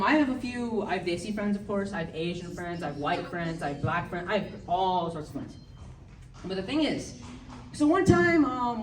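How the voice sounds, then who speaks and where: A woman's voice: medium pitch, darkish tone, slight background noise. A teenage American girl performing a rambling, giggly amateur stand-up set with many likes and ums. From United States. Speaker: stand-up comedian. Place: large hall with audience. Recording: echoey hall, speaker far from mic, crowd behind.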